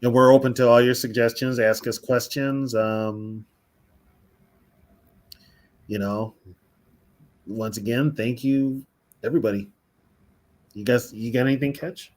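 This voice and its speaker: A man's voice: medium pitch, fairly even intonation, unhurried, pauses often, darkish tone. A man co-hosting a casual live stream, chatty, laughing easily and talking to the viewers in the chat. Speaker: co-host of a fan podcast.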